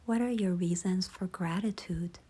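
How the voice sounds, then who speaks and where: A woman leading a guided visualization in a soft, hushed, breathy voice, gentle, unhurried and soothing. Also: on the low side. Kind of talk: slow guided meditation narration.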